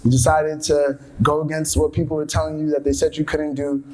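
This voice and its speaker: A man's voice: fairly high pitch, little pitch movement, a little fast, a little mellow, rough recording. A man hosting a live interview, speaking in an encouraging, conversational way as he puts questions to his guest. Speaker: host, interviewer. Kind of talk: live interview before an audience.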